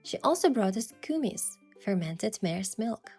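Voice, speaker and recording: An actress playing a playful, determined young woman who narrates her romantic game adventure in a measured, expressive way. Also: mid-range voice, gravelly, husky, soft background music, full character voice. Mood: narrating. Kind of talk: first-person story narration and dialogue.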